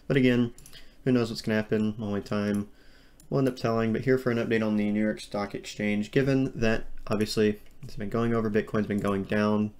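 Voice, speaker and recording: A man's voice: lowish voice, level tone, a little fast, a little mellow, clean tone. A man walking through market charts on screen in a rambling, hedging way, full of ums and restarts. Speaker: crypto market analyst. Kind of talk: screen-share chart analysis.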